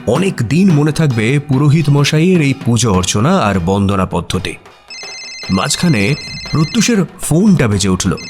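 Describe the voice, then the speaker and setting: A man acting a detective's puzzled, admiring friend who narrates a mystery audio story in an expressive, suspenseful way. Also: medium pitch, moderate pace, lightly acted. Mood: storytelling. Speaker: narrator and sidekick character. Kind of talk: dramatized first-person audio story narration. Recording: music behind the voice. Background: music.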